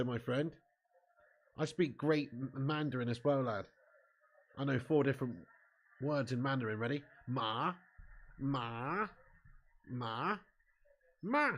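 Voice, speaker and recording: A cheeky young man with an English accent, hosting a football watch-along live stream and bantering while reading viewer comments. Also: mid-range voice. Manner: cheeky, banter-filled, laughs often.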